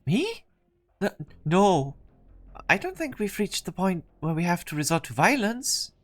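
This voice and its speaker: A woman's voice: lowish voice, very animated, measured pace. A woman voicing a polite, timid young male engineering intern in an amateur game fan dub, hesitant and courteous. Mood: hesitant, startled.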